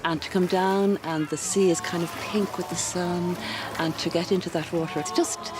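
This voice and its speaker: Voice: medium pitch, fairly even intonation, normal speed. An older person being interviewed, speaking thoughtfully and searching for words. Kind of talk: reflective interview. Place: outdoors by the sea. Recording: distant mic with loud music.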